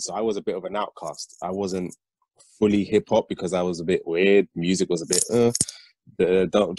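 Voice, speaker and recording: Low-voiced British man, deep and steady. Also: dry recording, rough recording. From United Kingdom and Nigeria. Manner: reflective, steady storytelling with frequent ums. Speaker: guest, rapper and educator. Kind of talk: relaxed interview talk.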